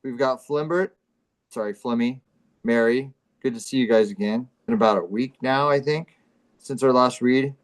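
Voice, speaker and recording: A man with a mid-pitched voice hosts a comedy livestream over a video call. He banters casually and keeps a deadpan, mock-serious delivery. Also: normal speed. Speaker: co-host of a live comedy show.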